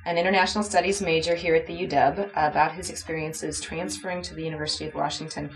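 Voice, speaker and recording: A woman on university staff interviewing a transfer student, brisk and saying "okay" often. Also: low-pitched, creaky, rough recording. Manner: brisk, frequent okays, plugs programs. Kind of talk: friendly scripted interview.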